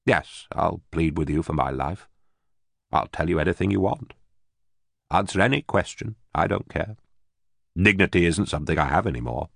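A man's voice: deep voice, animated, normal speed, vocal fry, long pauses, husky, no echo, clean studio sound, heavily acted. A man doing a nervous, broken ex-official. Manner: pleading, nervous, stammering, bitterly ironic. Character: frightened, broken former official man, bitter. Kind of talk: audiobook character voice.

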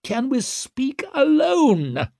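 A disgraced nobleman for an audiobook, pitched very high and swooping. He is indignant and wheedling, with a shrill, melodramatic self-pity. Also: wildly swooping pitch, very slow, vocal fry, dark tone, no room sound, studio quality, exaggerated voice. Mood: desperate wheedling. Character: whining, desperate, self-pitying accused nobleman, blustering and shrill.

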